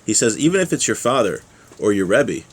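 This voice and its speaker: A man's voice: lowish voice, very animated, brisk, slightly rough. A man giving an informal religious lesson, reading from a text and explaining it with small check-in questions. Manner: explanatory, with rhetorical check-ins like "right?". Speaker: religious teacher giving a class.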